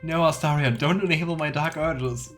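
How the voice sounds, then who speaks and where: A man's voice: on the high side, moderate pace. A man hosting a video game let's play, commenting and reading game texts aloud over game music. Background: soft game music.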